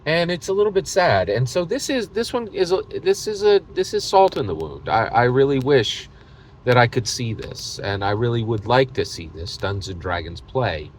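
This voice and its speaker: An American man hosting a casual, opinionated gaming monologue, speaking emphatically and repetitively, often halting mid-thought and leaning on hyperbole. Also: mid-range voice, very animated, a little hiss or hum. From United States. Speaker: host of a tabletop gaming video channel.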